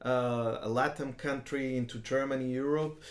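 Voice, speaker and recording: Halting male interviewer, echoey sound. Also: mid-range voice, fairly even intonation. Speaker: interviewer, host. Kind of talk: informal interview.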